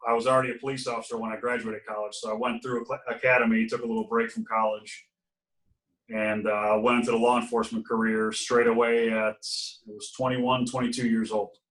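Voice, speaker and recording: A man's voice: medium pitch, flat delivery, fairly quick, fairly bright tone, distant mic. A man from Ohio, a podcast guest, telling his story in an easygoing, casual way. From Ohio, USA. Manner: easygoing storyteller, laughs now and then. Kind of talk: casual interview chat. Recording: roomy sound from off mic.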